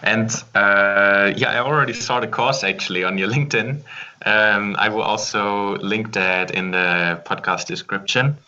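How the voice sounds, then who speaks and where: A man's voice: fairly low pitch, fairly even intonation, unhurried, bright, forceful tone, dull audio. A man hosting an interview podcast, speaking casually with frequent fillers and hesitations. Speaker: podcast host.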